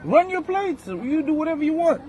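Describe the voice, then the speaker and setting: A man's voice: very high-pitched, sing-song, normal speed, dark tone. A man with a foreign accent, a rideshare driver, arguing in a challenging, indignant way on a video recorded outdoors. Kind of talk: confrontational argument caught on phone video. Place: outdoor parking lot. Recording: noisy outdoor street, vehicle sounds.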